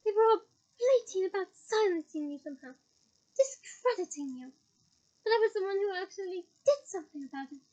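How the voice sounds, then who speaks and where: A woman voicing a cold, sadistic middle-aged witch official with sugary menace and shrill threats. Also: animated, a little fast, mellow, low-quality recording. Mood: gloating.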